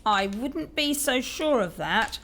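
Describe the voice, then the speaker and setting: A woman playing a gruff, sharp-tongued housekeeper in an audio drama, blunt and snappish. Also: high voice, animated, slow pace, exaggerated voice. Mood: grumpy, scornful.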